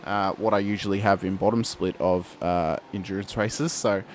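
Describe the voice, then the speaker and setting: A man giving lively play-by-play commentary on a sim race. He talks straight to the viewers and lifts his voice for the big moments. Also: deep voice, normal speed, a little hiss or hum.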